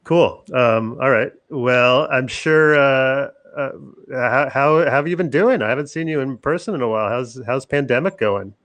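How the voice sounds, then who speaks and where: A man hosts a casual comedy interview over a video call. His voice is middle-pitched and plain. He talks in a chatty, joking way, often stopping and restarting his sentences. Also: moderate pace, a bit bright. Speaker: comedian and podcast host.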